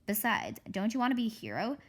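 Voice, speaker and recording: Woman as imaginative, bossy kid heroine for a storybook: urgent, insistent, very animated delivery. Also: a little high, fast talker, breathy edge. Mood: urgent, persuasive. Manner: insistent, urgent, sure of herself. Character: bossy, excitable, imaginative young girl schemer. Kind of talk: children's book read-aloud character voice.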